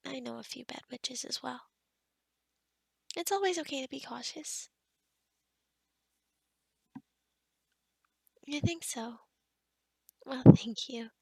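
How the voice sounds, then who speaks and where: Girl acting as a sweet awkward forest witch, high soft voice, kinda crackly, asmr roleplay. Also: gravelly, husky, no echo, full character voice. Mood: shy, friendly. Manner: hesitant, rambling, softly spoken with whispery stretches. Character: kind, awkward young forest witch, protective of her frog. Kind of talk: ASMR roleplay addressed to the listener.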